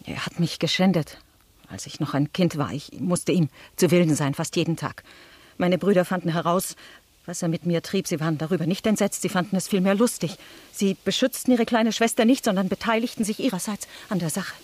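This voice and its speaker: Husky, bitter noblewoman. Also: on the low side, fairly even intonation, a little fast, darkish tone, no echo. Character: bitter, vengeful French noblewoman confessing old wounds. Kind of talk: radio drama acting.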